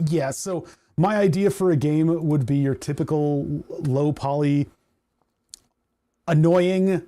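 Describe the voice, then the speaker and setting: Chatty mellow-voiced man, voice going hoarse. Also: on the high side. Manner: rambling, chatty, laughs often, reads chat aloud. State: voice starting to go hoarse. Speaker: livestream host. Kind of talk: casual livestream chat while coding.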